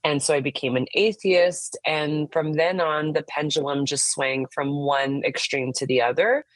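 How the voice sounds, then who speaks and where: A woman's voice: low-pitched, steady tone, unhurried, no room sound. A woman in her thirties from the United States, a therapist telling her own story in an open, frank, casual way, often saying "like". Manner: open and frank, frequent "like" fillers. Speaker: therapist and intimacy coach, podcast guest. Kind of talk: candid personal story in casual conversation.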